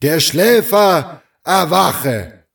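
An exalted cult guru in a fan radio play. He proclaims in a high, rough, smoky voice with slow, drawn-out solemnity. The voice comes from a distance, as if calling across a square. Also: expressive, exaggerated voice. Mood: exalted, fervent. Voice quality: smoky undertone, strained loud voice.

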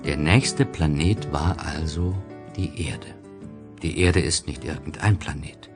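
A man narrating a storybook from a script in an expressive, deliberate storytelling manner. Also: rumbling low, over loud music.

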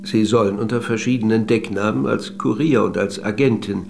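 Measured, precise radio drama narrator. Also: male voice, fairly low pitch, steady tone, vocal fry, darkish tone, faint music behind. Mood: courteous, probing. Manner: measured, precise, politely probing. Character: probing, courteous male author interviewing and narrating history. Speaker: narrator and interviewer.

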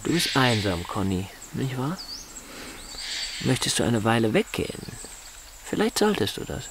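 An actor in a radio drama playing a proud, bitter, paralysed upper-class husband, speaking in an articulate, sardonic, condescending way. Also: male voice, medium pitch, very animated, normal speed. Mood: coaxing, controlled. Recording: chirping insect noise behind the voice.

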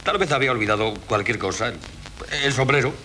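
A man's voice: mid-range voice, bright, forceful tone, lightly acted. A man playing a pompous lecturing doctor. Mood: blustering. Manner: measured, lecturing. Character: pompous middle-aged doctor, lecturing and calm. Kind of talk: 1970s Spanish radio drama acting. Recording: old radio broadcast with faint hiss.

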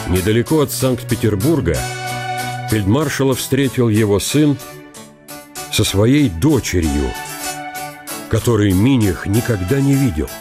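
A man narrating a historical story for a radio play, deliberate and broken into short phrases. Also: on the low side, expressive, music in the background.

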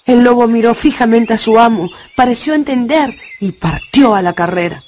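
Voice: mid-range voice, moderate pace, a little mellow, slight background noise. A narrator telling a story from a script for a radio drama. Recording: music bed behind the voice.

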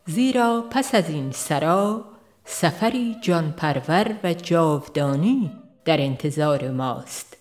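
A woman with a somewhat low voice reads a scripted spiritual audiobook. Her delivery is measured and reverent. Faint music plays behind her. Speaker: audiobook narrator. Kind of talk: scripted spiritual book narration.

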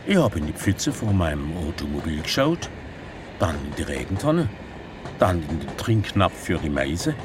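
A man's voice: very animated, normal speed. A man acting a chatty, whimsical stranger in a radio drama, inquisitive and rambling. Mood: whimsical, amazed. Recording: loud train noise in background. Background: rumbling train.

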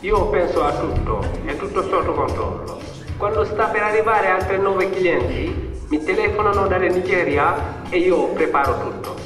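An actor performs a self-assured male people smuggler on stage. His voice is high for a man, brisk and insistent, repeating points like a dealer. It rings in an echoey hall with music clearly behind it. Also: distant mic, lightly acted. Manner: businesslike, insistent, repeats himself for emphasis. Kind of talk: theatrical stage monologue.

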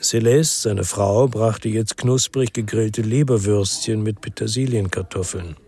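A man's voice: on the low side, unhurried, darkish tone, breathy edge, no echo. An actor playing a world-weary male ex-policeman who narrates his own crime story in a sober, laconic way for radio drama. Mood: brooding.

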